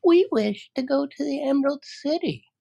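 Dorothy, a high girlish voice. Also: lively intonation, normal speed, vocal fry, rough recording. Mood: earnest. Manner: bright childlike. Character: young girl, earnest and polite.

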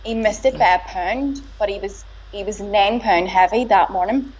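A woman's voice: medium pitch, normal speed, a little mellow, husky. Belfast woman chatting, stops and restarts a lot, kinda dull lo-fi audio. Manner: conversational, hesitant restarts. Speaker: guest, boxer's partner. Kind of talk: casual interview. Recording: slightly dull lo-fi sound.

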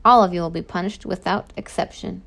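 A woman narrator plays a furious middle-aged prison warden from a children's novel. He is gravelly and forceful, scolding with stern, accusatory authority. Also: fairly low pitch, fairly quick. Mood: cold, disappointed.

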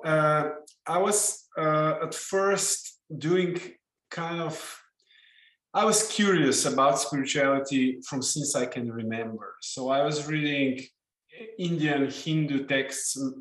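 Man, Slovenian accent, non-native English speaker, middle-aged, unhurried, halting with fillers, echoey room sound. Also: medium pitch, fairly bright tone. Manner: hesitant, many uh and um fillers. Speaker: guest, intuitive healer and author. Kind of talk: reflective storytelling in interview.

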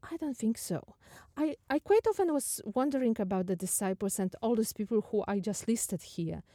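A woman with a Polish accent giving a thoughtful, questioning talk on faith as a podcast host, sometimes halting over her words. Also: on the high side, animated, mellow, dry recording, clean studio sound.

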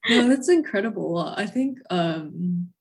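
A young woman hosting a casual interview, talking in a halting, conversational way peppered with "like". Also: lowish voice, lively intonation. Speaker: podcast host, interviewer.

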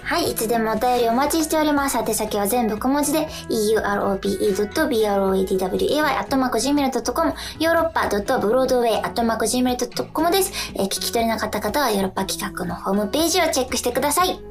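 A woman's voice: on the high side, expressive, racing, hardly any pauses, faint music behind. A woman co-hosting a radio show, chatting casually and giving announcements in a polite manner.

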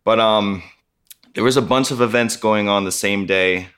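A man's voice: fairly low pitch, normal speed, a bit bright, smooth. A man from Schenectady, New York, guesting on a podcast as a DJ and breakdancer, upbeat and easygoing. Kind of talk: casual interview chat.

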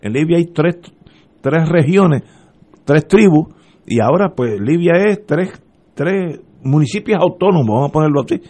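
Emphatic radio host. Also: male voice, fairly high pitch, animated, normal speed, dark tone. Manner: emphatic, rhetorical questions, frequent restarts. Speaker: radio talk show host. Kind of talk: political commentary on talk radio.

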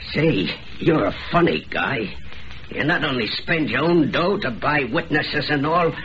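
An actor playing a boastful, cruel hobo murderer, drunk and wary, with rough slangy American speech in an old-time radio drama. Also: male voice, mid-range voice, pushed and bright. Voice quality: rough uneducated slangy diction. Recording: dull, noisy old radio recording.

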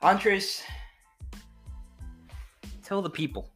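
A Dominican-born man co-hosting a casual podcast, loud, profane and joking, laughing often. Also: sits high, drawn-out words, slightly rough, faint music behind. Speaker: podcast co-host.

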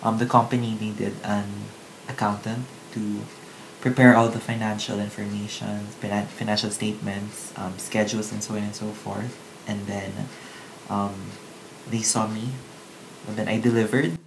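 A man in his early twenties with a Filipino accent, a podcast guest who talks in a relaxed, hesitant way full of ums. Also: fairly low pitch, moderate pace, gravelly, slight background noise, rough recording. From Philippines. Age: 20s. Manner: relaxed, hesitant with many ums, switches into Tagalog. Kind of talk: casual podcast chat.

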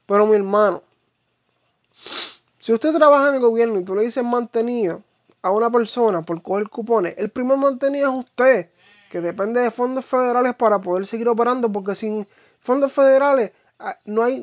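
A Puerto Rican Spanish speaker giving a casual, opinionated monologue to viewers, informal and halting, with slang and English fillers mixed in. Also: medium pitch, dry recording. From Puerto Rico. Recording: dull, lo-fi, dry sound.

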